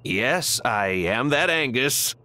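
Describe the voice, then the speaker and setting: A man's voice: medium pitch, lively intonation, takes it slowly, sharp tone. An actor playing a genial male baker, proud of his small fame, in a video game. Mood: proud, jovial.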